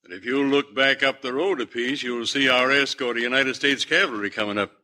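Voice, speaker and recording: An actor playing a firm, commanding Old West sheriff, brisk and authoritative, in an old-time radio western. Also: male voice, mid-range voice, steady tone, bright, forceful tone.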